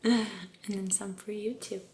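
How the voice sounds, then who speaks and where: A soft-spoken woman doing an ASMR spa role-play as an aesthetician, breathy and often whispering, gentle, soothing and encouraging. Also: medium pitch, fairly even intonation, unhurried, noisy background. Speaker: ASMR creator playing a med spa aesthetician.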